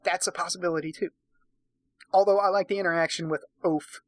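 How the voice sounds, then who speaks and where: A man's voice: high voice, fairly even intonation, fairly quick, dry recording. A tipsy man hosting a casual comic read-aloud, narrating and breaking off for sweary, sarcastic commentary. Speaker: host, narrator.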